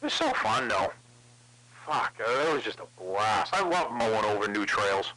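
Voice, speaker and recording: A man's voice: mid-range voice, very animated, normal speed, vocal fry, sharp tone. A man in a casual CB radio conversation, rambling along and swearing heavily. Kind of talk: casual chat over CB radio. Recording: crackly CB radio with clicks.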